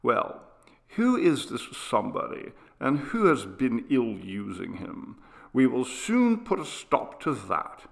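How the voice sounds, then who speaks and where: A man acts out an anxious father in a storybook, gently humouring a sick child. The delivery is unhurried and coaxing, with a lively rise and fall in pitch. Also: vocal fry, full character voice. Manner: soothing, measured, coaxing. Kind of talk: dramatised audiobook character reading.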